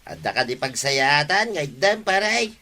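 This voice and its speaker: A man's voice: a little high, sing-song, a little slow, sharp tone, full character voice. A man with an Ilocano accent playing a touchy, indignant villager in a comedy radio drama, speaking in a curt, scolding way. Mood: irritated.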